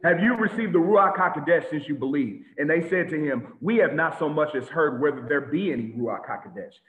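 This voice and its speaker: Animated preaching man, tinny echoey line. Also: on the high side, brisk. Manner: animated preaching with repetitions and shouts. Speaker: teacher of a congregation. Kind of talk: sermon over slides. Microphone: video call webcam. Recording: tinny phone-like echoey audio.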